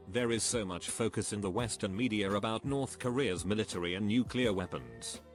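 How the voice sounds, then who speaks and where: A man giving a scripted narration, reading online questions and answers in an even, measured way. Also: on the low side.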